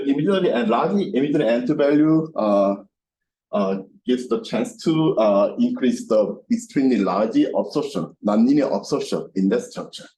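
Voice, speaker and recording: A man giving an academic lecture as a researcher at a seminar, speaking haltingly and repetitively with non-native English phrasing. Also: mid-range voice, poor audio. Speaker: researcher presenting at a scientific seminar. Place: seminar room with projection screen. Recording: bright room recording with background noise.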